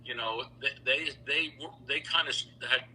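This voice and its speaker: A middle-aged man telling stories over a video call, relaxed and rambling with lots of fillers. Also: mid-range voice, normal speed, slight background noise, rough recording. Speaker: interview guest, founder of a choral institute. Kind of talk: casual storytelling interview.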